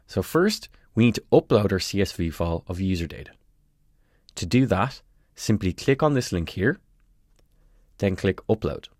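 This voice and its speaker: Low-voiced man narrating a tutorial. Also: animated, normal speed, long pauses, a little mellow, no room sound, clean studio sound. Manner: clear step-by-step instructional delivery. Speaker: tutorial presenter.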